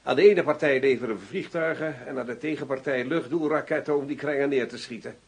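A man's voice: mid-range voice, fast talker, slightly rough. A man playing a cynical, matter-of-fact arms dealer in a radio drama. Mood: smooth, cynical.

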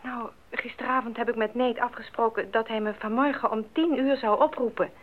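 An actress playing a worried missionary wife at a radio post in a Dutch radio drama. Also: female voice, a little high, steady tone, fairly quick, lightly acted. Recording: muffled, narrow phone-like radio play recording.

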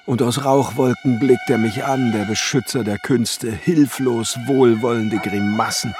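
An actor playing a bitter, brooding ruined court glassmaker in a German radio play, declaiming theatrically in poetic monologue. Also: male voice, mid-range voice, fairly even intonation, measured pace, close to an everyday voice. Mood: distraught. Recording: studio radio play with music underneath.

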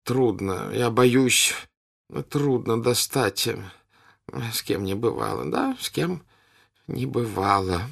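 A man's voice: mid-range voice, very animated, slow, gravelly, fairly bright tone, pitched above the performer's own voice, heavily acted. A jovial old count who easily gets flustered, crying out and then faltering helplessly in a storybook reading, as a man acts him. His voice jumps around a lot.

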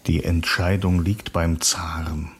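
A steadfast rebel leader man in a radio play, low raspy voice, short grave controlled lines. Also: little pitch movement, slow pace, exaggerated voice. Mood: stern. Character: stern, steadfast male revolutionary leader. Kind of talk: German radio play acting.

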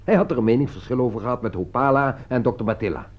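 A man acting an articulate, insistent gentleman who persuades and commands as he defends a friend in a Dutch radio play. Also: medium pitch, quick pace, lightly acted. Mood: urgent pleading. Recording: dull, lo-fi radio play recording.

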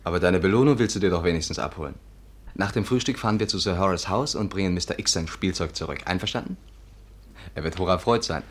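An actor in a 1950s German radio play playing a glib, affectionate private detective with a breezy, flippant manner. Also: male voice, deep voice, fast, breathy edge. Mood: coaxing.

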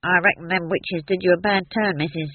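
Excitable dwarf guy, gruff and blurty, pitched up high, a man putting him on, tinny phone sound. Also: a little fast, dry recording. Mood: matter-of-fact. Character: excitable male dwarf playwright.

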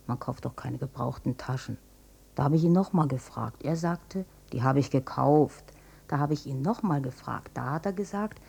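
A woman's voice: sits low, creaky, warm tone, husky, exaggerated voice. An actress playing a weary, troubled German mother recalling her wartime marriage in an intimate, plain-spoken radio drama monologue. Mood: troubled recollection.

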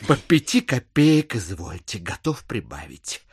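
Calculating gentleman buyer, audio play, lively intonation, oily courtesy, unhurried, dry sound. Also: lowish voice, slightly rough, dry recording, heavily acted. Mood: ingratiating. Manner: unctuous, theatrically courteous, deliberate. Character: smooth-talking, calculating gentleman buyer, ingratiatingly polite.

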